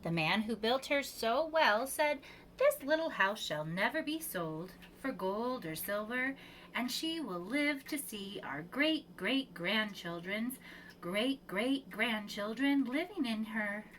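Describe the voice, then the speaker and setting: Female preschool storyteller, lightly high voice, very slow expressive read-aloud, noisy ambient recording. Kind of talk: reading a children's picture book aloud.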